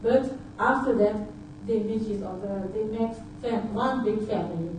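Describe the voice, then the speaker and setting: A woman whose first language is Dutch, from Suriname, giving a halting personal talk at a conference, often repeating words and filling pauses with uh. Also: mid-range voice, darkish tone, slight background noise. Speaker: conference speaker. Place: large conference hall. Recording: echoey hall, voice picked up from far off.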